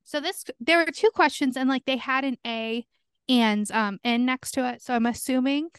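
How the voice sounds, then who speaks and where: A woman's voice: high-pitched, normal speed, clean tone, no echo, studio quality. A woman in her early thirties co-hosting a podcast, bubbly and giggly in casual chat. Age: 30s. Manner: bubbly and giggly, lots of fillers. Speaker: podcast co-host.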